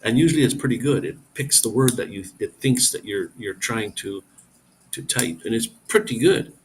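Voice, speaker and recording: A man's voice: fairly low pitch, moderate pace, slight background noise. A middle-aged man hosting a casual live stream, rambling as he reads viewer comments aloud and answers them.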